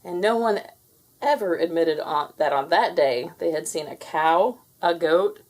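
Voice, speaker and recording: A woman reading a children's story aloud with expressive, theatrical delivery. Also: lowish voice, unhurried. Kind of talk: animated scripted read-aloud narration.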